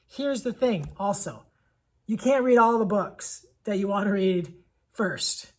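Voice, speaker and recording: Casting: female schoolteacher, husky mid-range voice, emphatic and enthusiastic, casual video book reviewer. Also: medium pitch, animated, measured pace. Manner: enthusiastic, emphatic, repeats phrases for effect. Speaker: elementary school teacher reviewing picture books.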